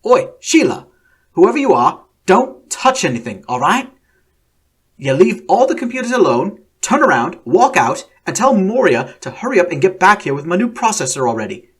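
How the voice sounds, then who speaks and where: A reader doing a brash Australian machine-mind. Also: male voice, sits high, sing-song, normal speed, breathy edge, voice pushed up, exaggerated voice. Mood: irritated. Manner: brash, annoyed, shouting. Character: gruff male computer-mind scientist, rude.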